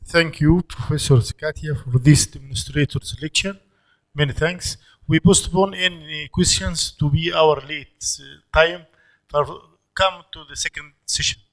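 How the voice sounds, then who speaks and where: A man's voice: fairly high pitch, measured pace, fairly bright tone, poor audio. A man chairing a conference session, speaking formally with stilted phrasing. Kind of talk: introducing speakers.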